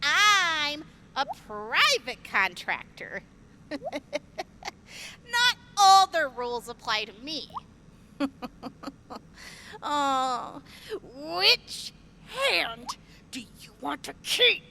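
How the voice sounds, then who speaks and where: Actress as a brash threatening hired gun, high and swooping, bright edge. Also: female voice, very animated, very slow, pauses often, a little hiss or hum, full character voice. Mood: menacing. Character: brash, menacing female mercenary contractor.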